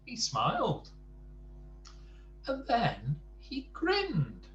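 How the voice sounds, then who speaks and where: A man's voice: high-pitched, huge pitch swings, very slow, a bit bright, roomy sound, lo-fi sound, slight background noise. A man reading a children's bedtime story aloud, adding chatty asides to the listener.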